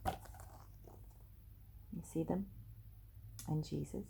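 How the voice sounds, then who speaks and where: Slow, gentle older woman reading a story. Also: low voice, steady tone, creaky, noisy background. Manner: slow, gentle and hushed, dropping to near whispers. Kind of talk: reading a children's storybook aloud.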